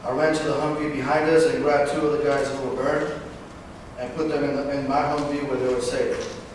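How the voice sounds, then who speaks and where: A man's voice: mid-range voice, level tone. Man speaking far off in an echoey hall. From Northern California. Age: 20s. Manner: halting, with fillers and self-corrections. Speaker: veteran giving a talk. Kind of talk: personal speech read to an audience. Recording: distant, echoey hall recording with background noise.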